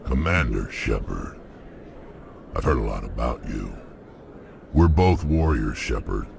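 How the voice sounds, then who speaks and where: Gruff alien bounty hunter, crazy deep n slow, blunt threats, game voice. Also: male voice, animated, background noise, full character voice. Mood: grim, measured. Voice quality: gravelly. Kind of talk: video game acting.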